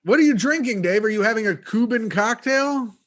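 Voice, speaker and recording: A man with a high voice co-hosts a comedy podcast over a video call. He talks quickly and rambles through his riffs, laughing often. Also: expressive, fairly bright tone. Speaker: co-host.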